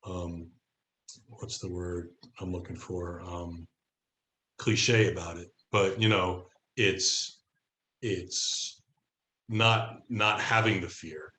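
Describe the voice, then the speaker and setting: A man as a podcast guest, talking casually and reflectively, halting as he searches for the right words. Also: low-pitched, steady tone, sharp tone, slight background noise. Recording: echoey room.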